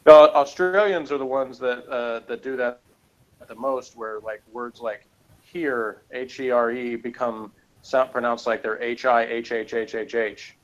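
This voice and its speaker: A man's voice: mid-range voice, steady tone. Man in casual chat, dull lo-fi sound. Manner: halting, rambling, self-correcting explanations. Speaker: podcast co-reviewer.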